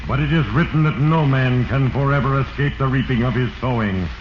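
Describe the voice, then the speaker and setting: An actor playing Fate, a sinister narrator, portentous and solemn in a 1940s radio drama, on an echoing, dull, noisy recording. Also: male voice, medium pitch, a little fast, close to an everyday voice. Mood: ominous, solemn. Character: sinister, heavy-handed male Fate narrating a man's doom. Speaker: narrator host.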